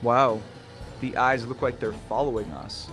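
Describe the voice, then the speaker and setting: A man plays a wary young friend in a horror audio drama. He sounds casual, slangy and doubtful, with a medium-pitched voice. Light music sits behind him. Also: fairly even intonation, measured pace, fairly bright tone, natural voice. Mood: amazed.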